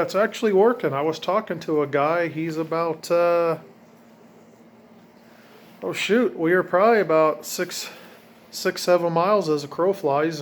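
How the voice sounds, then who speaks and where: A man giving a casual, plainspoken walkthrough of his own do-it-yourself work, informally pointing things out as he goes. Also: fairly high pitch. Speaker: DIY hobbyist showing his own install. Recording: noticeable ambient background noise.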